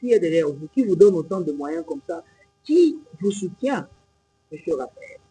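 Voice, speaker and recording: High, lively male interviewer. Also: moderate pace, slightly rough, faint music behind, low-quality recording. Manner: probing, insistent questioning. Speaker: TV host, interviewer.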